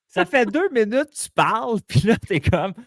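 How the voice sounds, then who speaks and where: A man's voice: sits high, sing-song, normal speed, no echo, clean studio sound. Playful Québécois man hosting a podcast. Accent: Québécois French. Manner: playful, exclamatory, teasing. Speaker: podcast host. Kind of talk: lively live podcast interview. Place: small studio.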